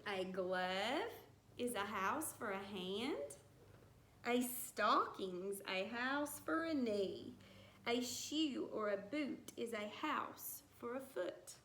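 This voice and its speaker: A woman teacher reading a rhyming picture book aloud to young children, with deliberate, singsong storybook phrasing. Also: mid-range voice, a bit bright. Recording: roomy echo with some background noise.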